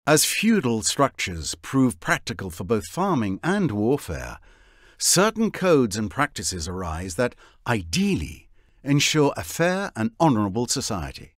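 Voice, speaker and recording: A man delivering scripted cinematic narration for a game, with a wry, deliberate storyteller's manner. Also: medium pitch, sing-song, dry recording, clean studio sound. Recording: voice over prominent music score.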